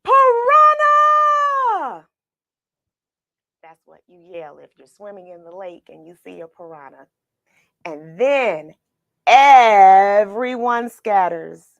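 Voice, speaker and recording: A woman reading a children's story aloud, expressive and dramatic, with pauses for effect. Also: medium pitch, dry recording, muffled recording. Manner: expressive, dramatic pauses, asks the listener questions. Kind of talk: animated read-aloud storytelling.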